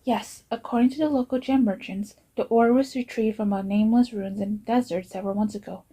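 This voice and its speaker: Woman as a dark, husky, serious informant. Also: on the high side, fairly even intonation. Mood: serious, factual. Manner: measured, matter-of-fact. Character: serious, businesslike young informant reporting findings. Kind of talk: amateur fan voice-over of game dialogue.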